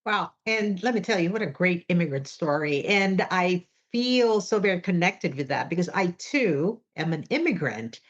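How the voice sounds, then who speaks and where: A woman's voice: fairly low pitch, animated, normal speed, few pauses, lo-fi sound. A woman hosting an interview over a video call, enthusiastic and affirming toward her guest. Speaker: podcast host.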